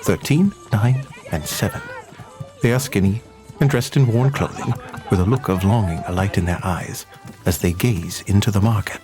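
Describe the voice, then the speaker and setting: Male narrator for an audio drama, lowish voice, scripted storytelling, music behind. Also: normal speed.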